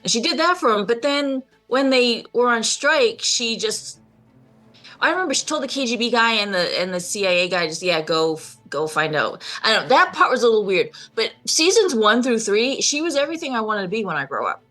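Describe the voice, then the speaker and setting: A woman's voice: fairly high pitch, sing-song, a little fast, pushed and bright, faint music behind. A woman on a casual discussion panel, giving her opinions emphatically.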